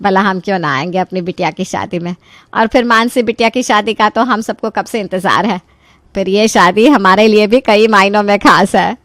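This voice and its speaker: A woman voicing a sly, mock-innocent middle-aged mother-in-law in an animated family story, asking pointed questions. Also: mid-range voice, normal speed, no room sound, natural voice. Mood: warmly polite. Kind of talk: Hindi animated story dialogue.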